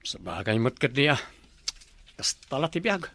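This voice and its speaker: Lively Ilocano young man. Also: medium pitch, unhurried, no echo.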